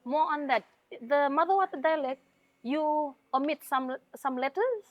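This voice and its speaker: A woman from Fiji with a Fijian English accent, hosting a casual interview with a band and asking questions with soft fillers. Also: sits high, expressive, unhurried, dry recording, muffled recording. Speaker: podcast host.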